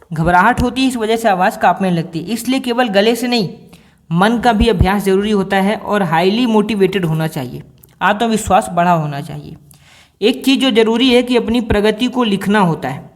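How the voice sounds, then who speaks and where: A man giving a spoken singing lesson, patient and explanatory, repeating key points and posing rhetorical questions to his students. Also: sits high. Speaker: singing teacher.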